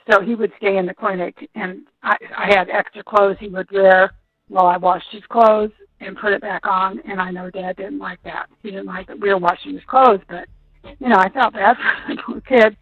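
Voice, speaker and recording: A woman's voice: mid-range voice, no room sound. An American woman, a school nurse, recounting what she remembers in a rambling, conversational phone interview, often qualifying her memory. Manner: rambling, conversational, hedges recollections. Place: on a telephone call. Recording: muffled, dull phone call audio.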